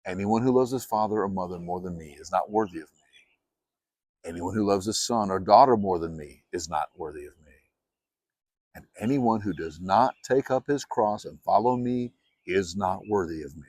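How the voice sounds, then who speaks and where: A man reading scripture aloud as a solemn audiobook, with deliberate, emphatic and measured phrasing. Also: sits low, a little mellow, no room sound, studio quality. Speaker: Bible reader.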